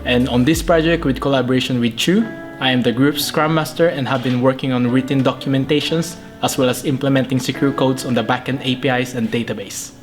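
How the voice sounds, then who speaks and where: A man's voice: medium pitch, fairly even intonation, brisk, faint music behind. A man in his twenties introducing himself in a formal, fluent way. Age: 20s. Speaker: cybersecurity student.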